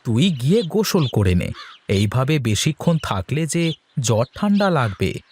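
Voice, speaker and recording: A man voicing an easygoing, kindly village man in a cartoon, expressive and reassuring. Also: medium pitch, measured pace, slight background noise. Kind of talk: cartoon voice acting.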